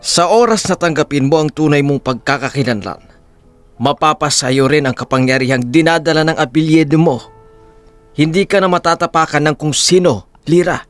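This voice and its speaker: Guy acting serious formal envoy in an audio drama, kinda higher male voice, deliberate n persuasive. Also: faint music behind, close to an everyday voice. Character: earnest, formal male emissary of a billionaire family.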